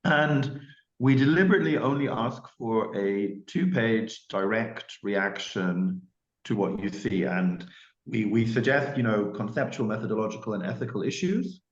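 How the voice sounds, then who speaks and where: A man explaining the application requirements at an online information session, measured and peppered with "you know" asides. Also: lowish voice. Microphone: video call. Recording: roomy, muffled call audio.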